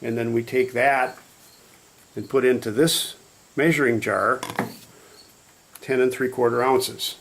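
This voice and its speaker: Gravelly-voiced man giving a demonstration. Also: medium pitch, steady tone, unhurried, pauses often, fairly bright tone, a little hiss or hum. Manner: matter-of-fact, step-by-step explaining. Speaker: hobbyist demonstrator. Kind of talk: informal hands-on demonstration.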